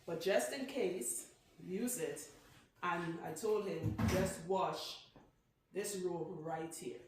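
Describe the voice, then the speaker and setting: A woman's voice: lowish voice, slow pace. A woman with a Trinidadian accent, a loctician chatting and teasing while she demonstrates hair care in a salon. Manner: chatty, teasing, lots of "right?" and "okay?". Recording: very echoey room, faint noise.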